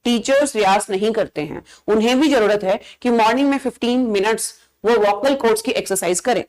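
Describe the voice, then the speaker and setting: A woman with an Indian accent and a fairly high voice talks as a teacher addressing other teachers. She explains things emphatically in an informal lesson style, often slipping English phrases into her sentences. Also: a little mellow. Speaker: biology teacher. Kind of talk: informal educational advice talk to fellow teachers.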